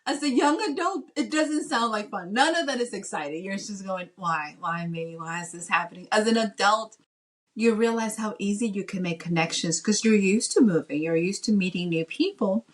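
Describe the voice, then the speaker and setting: A woman from the Dominican Republic and Massachusetts chatting casually as a guest author, with an animated storytelling manner. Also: mid-range voice, moderate pace. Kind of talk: casual interview chat.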